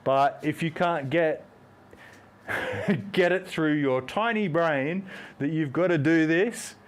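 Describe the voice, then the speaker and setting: A man's voice: fairly high pitch, slow pace. A man, hosting a fitness live stream, rambling and joking while he does squats and counts reps aloud. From Australia. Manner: talks while doing squats.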